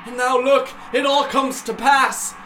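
A man's voice: extremely high pitch, fairly even intonation, a little slow. A man acting a desperate, stubborn shipwrecked sea captain in a declamatory, theatrical audio drama monologue. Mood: despairing. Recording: music behind the voice.

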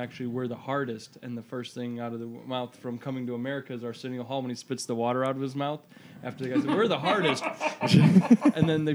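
A man talking bluntly and profanely in a casual group podcast chat, with loud outbursts. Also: mid-range voice, a little hiss or hum.

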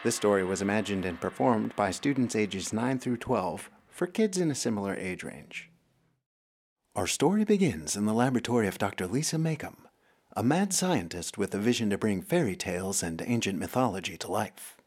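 A man's voice: lowish voice, expressive, moderate pace, a little mellow, slightly rough. A man working as a podcast host, reading scripted narration for a children's story show.

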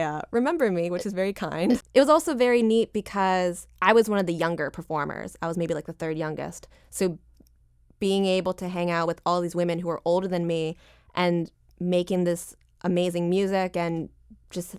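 Low, clear-voiced woman musician. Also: brisk, dry recording, clean studio sound. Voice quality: lower-pitched voice. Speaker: singer-songwriter guest. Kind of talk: casual interview chat.

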